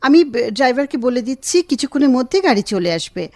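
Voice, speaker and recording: A woman acting a gentle, grateful, affectionate wife who is convalescing, in a Bengali radio drama. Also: high voice, big pitch swings, a little fast, no echo, clean studio sound. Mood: courteous, insistent.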